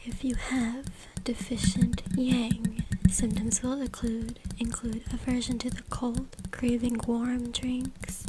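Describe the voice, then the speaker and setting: A woman whispers an ASMR reading right up at the microphone. Her delivery is slow and very level, all breath with hardly any voice. Heavy background noise, with tapping, scratching and clicking, runs behind her. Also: on the high side, level tone. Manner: reading aloud with brief asides. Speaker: ASMR creator. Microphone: close USB microphone.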